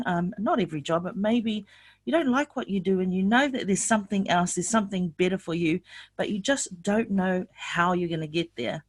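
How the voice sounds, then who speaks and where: A woman's voice: medium pitch, no room sound. An older woman from New Zealand presenting an online sales webinar, upbeat and asking her audience lots of questions.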